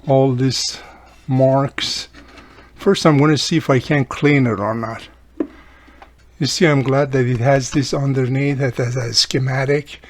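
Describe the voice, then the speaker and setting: A man's voice: medium pitch, fairly even intonation, a little slow, a little hiss or hum. Chatty man thinking aloud through a tutorial. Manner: chatty, thinking aloud, often addressing the viewer. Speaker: host of a radio repair tutorial channel. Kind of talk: casual hands-on tutorial narration.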